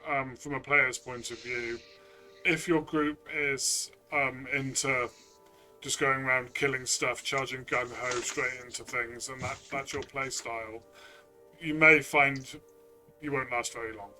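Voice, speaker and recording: A man's voice: mid-range voice, steady tone, a little slow, sharp tone, faint music behind. A man talking conversationally as a co-reviewer, giving a player's view in a review discussion.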